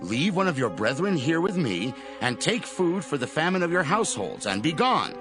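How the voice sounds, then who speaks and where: An actor playing an earnest grown son in a Bible audio drama, speaking in a solemn, emphatic, declamatory way. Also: male voice, a little high, big pitch swings, moderate pace, music in the background. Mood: solemn report. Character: earnest adult son pleading with his aged father.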